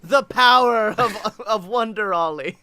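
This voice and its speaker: A man's voice: sits high, measured pace, sharp tone, clear, resonant tone, dry recording. A man in his twenties with an American accent, joking and teasing as he chats as a podcast co-host. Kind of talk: casual podcast banter about wrestling. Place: home room, indoors.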